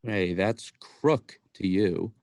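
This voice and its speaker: A woman's voice: very deep voice, extremely slow, darkish tone, no room sound, exaggerated voice. A young woman acting in a radio play as an exasperated, incredulous uncle, a shady small-time salesman. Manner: incredulous, pleading. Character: exasperated, shady adult uncle, male watch peddler. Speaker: student actor in radio play.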